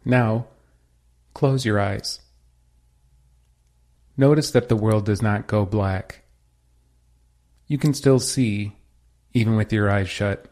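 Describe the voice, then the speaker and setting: A man leading a guided meditation as a teacher, with a measured, soothing, instructional delivery. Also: fairly low pitch, a little mellow.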